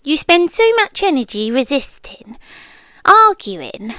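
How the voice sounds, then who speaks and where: A woman's voice: high voice, very animated, bright, forceful tone, no echo, studio quality, heavily acted. A British woman playing a possessive yandere girlfriend in an intimate ASMR roleplay, speaking with coolly patient, condescending menace and dropping toward whispers. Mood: controlled, taunting. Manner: slow, deliberate, dropping into whispers, condescending sweetness.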